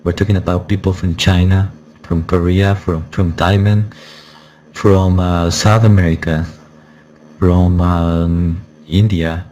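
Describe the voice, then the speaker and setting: Very low male reviewer through a voice changer. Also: fairly even intonation, slow pace, darkish tone. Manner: insistent, repetitive, warning listeners. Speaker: software reviewer. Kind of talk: casual spoken review. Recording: background noise.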